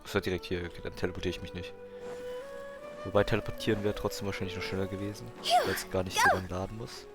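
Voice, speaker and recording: A man's voice: low voice, flat delivery, rapid-fire, loud music behind the voice. A man with a German accent giving casual let's play commentary, muttering asides to himself. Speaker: gamer.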